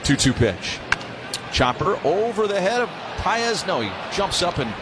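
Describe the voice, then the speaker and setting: A man's voice: sits high, big pitch swings, measured pace, noisy background. A man calling a baseball game live on the radio as play-by-play announcer. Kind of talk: live radio sports commentary. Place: stadium broadcast booth.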